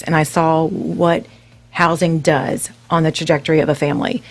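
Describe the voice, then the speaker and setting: A woman guest on a radio show, explaining her nonprofit work in a composed, clear way. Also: sits low. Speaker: guest from real estate nonprofit.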